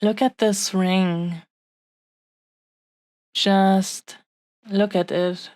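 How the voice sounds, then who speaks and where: A woman playing a tender, possessive dragon-blooded fiancée in an intimate romantic audio roleplay, speaking haltingly with lingering, breathy pauses. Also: medium pitch, little pitch movement, a little mellow, no room sound, studio quality. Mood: earnest, devoted.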